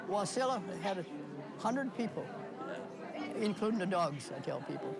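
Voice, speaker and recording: A woman's voice: mid-range voice, sing-song, moderate pace, creaky. A hundred-year-old woman from Minnesota, chatting and reminiscing in a wry, plain-spoken way. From Minnesota, United States. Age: 100 years old. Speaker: birthday celebrant being interviewed. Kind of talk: casual interview reminiscing. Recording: loud crowd at a party.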